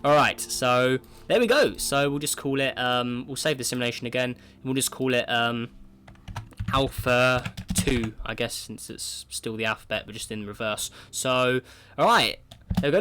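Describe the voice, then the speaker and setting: A man with a cold talks through a space simulation game at a mid-range pitch. He keeps up a relaxed running commentary, often restarting with "right, so", and his throat sounds sore. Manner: chatty, thinks aloud, often opens with "right, so". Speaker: gaming video creator.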